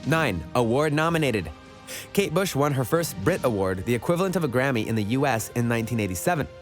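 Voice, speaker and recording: A man delivers scripted countdown narration at a medium pitch, with music playing faintly behind him. He speaks as the narrator of an online list video. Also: expressive, quick pace.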